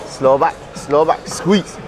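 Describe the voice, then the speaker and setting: A man in his thirties, a bodybuilder, speaking calmly and deliberately while training. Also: on the high side. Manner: calm, very slow deliberate speech. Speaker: professional bodybuilder. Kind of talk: training talk and rep counting. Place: large indoor gym. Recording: loud gym music behind.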